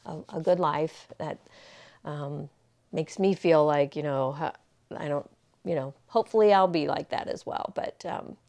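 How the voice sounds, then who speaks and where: A woman's voice: low voice, unhurried, gravelly, a little mellow. A woman in her sixties talking casually to camera, rambling and reflective, with many fillers and restarts. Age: 60s. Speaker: vlogger. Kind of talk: casual personal talk to camera.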